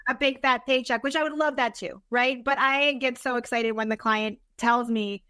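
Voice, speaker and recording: A woman's voice: a little high, normal speed, dry recording, studio quality. A woman being interviewed as a guest, talking casually and earnestly, often acting out little quotes of herself and others. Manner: earnest, animated self-quoting, informal. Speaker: podcast guest, business consultant.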